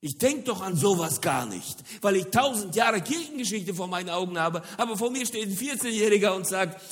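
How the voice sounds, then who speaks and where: A middle-aged man from the former Soviet Union gives a lively lecture-style sermon on church mission. He repeats words for emphasis and hesitates often. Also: sits high, fairly quick. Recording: long hall reverb.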